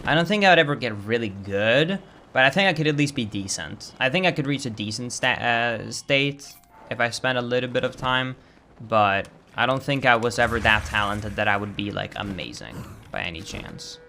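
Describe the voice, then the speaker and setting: A young man with a Swedish accent, chatting casually and reacting loudly while he streams a game. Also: mid-range voice, animated, normal speed, soft background music. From Sweden. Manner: casual, chatty, swears and flares up over game lag. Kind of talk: live-stream gameplay commentary.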